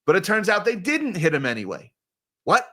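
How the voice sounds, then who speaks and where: A man hosting a live-stream news commentary, talking in an animated, exasperated, ranting way. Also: sits high, normal speed. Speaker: host.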